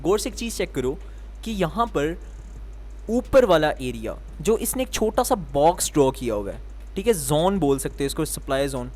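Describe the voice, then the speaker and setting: Male trading teacher, sore throat, slightly high voice, question-and-answer explaining. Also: a little mellow. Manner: explains step by step, asking the viewer questions and answering them himself. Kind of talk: explanatory lesson.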